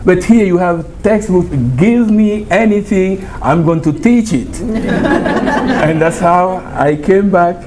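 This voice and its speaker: A middle-aged man from Congo with a Congolese accent, a university professor giving a lively, informal talk to a small group, often laughing and switching languages. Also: sits high, slow, heavy background noise. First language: Chiluba and Lingala.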